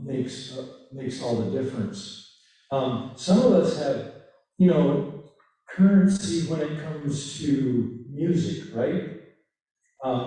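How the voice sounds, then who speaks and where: Slow, mellow male workshop leader with a Dutch accent, halting delivery, recorded far off in a very echoey church hall. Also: medium pitch. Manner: hesitant, thinks aloud. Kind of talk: conversational workshop talk.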